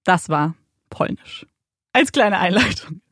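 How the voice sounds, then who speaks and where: A young woman co-hosting a podcast, narrating a crime case in a slow, suspenseful storytelling manner with rhetorical questions. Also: fairly low pitch, animated, fairly bright tone, slightly rough, dry recording, clean studio sound. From Germany, raised bilingual by Polish parents. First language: Polish. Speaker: podcast co-host telling the case. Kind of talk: dramatic true crime storytelling. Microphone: studio microphone.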